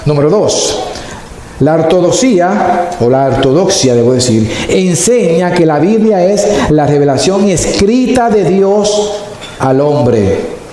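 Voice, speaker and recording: A male pastor preaching a sermon slowly and deliberately, stretching words for emphasis. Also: a little high, dark tone. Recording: very echoey hall, faint noise.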